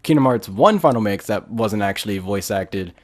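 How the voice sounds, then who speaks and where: A man's voice: on the low side, expressive, moderate pace. A man giving casual, rambling let's play commentary as a gaming video maker. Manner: rambling, informal. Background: game music.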